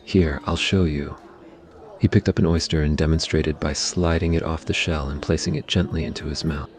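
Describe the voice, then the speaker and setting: A man's voice: very deep voice, flat delivery, fairly quick, darkish tone. A man narrating a scripted romantic ASMR story.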